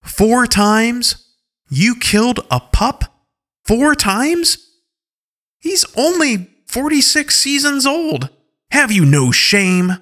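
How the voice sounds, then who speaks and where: A man's voice: mid-range voice, big pitch swings, slow, a bit bright, pitched above the performer's own voice. A man voicing an angry, sarcastic wolf-pack leader who mocks and scolds. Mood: furious. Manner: mocking, indignant outbursts.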